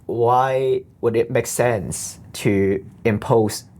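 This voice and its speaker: A deep-voiced man speaks in a middle pitch. He explains points earnestly and at length, with frequent false starts and repeated words. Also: extremely slow, a little mellow. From Hong Kong. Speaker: interview guest. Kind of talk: conversational interview.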